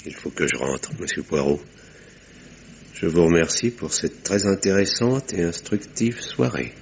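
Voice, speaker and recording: An actor playing a guarded, weary village doctor with a bitter secret, speaking in a measured, formal way in a French radio drama with muffled sound. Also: male voice, sits low, vocal fry. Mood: stiff courtesy.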